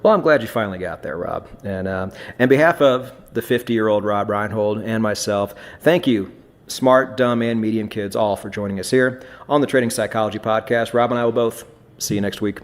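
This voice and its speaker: A man's voice: lowish voice, moderate pace. A man hosting a trading podcast, talking in a blunt, emphatic way with casual swearing. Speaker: podcast host.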